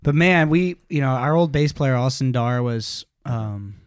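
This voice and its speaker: A man in his late twenties, a singer and guitarist, telling his band's story in a halting way with many pauses and restarts. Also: medium pitch, animated, smooth, dry recording, clean studio sound. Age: late 20s. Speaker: band singer and guitarist, guest. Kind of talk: casual interview storytelling.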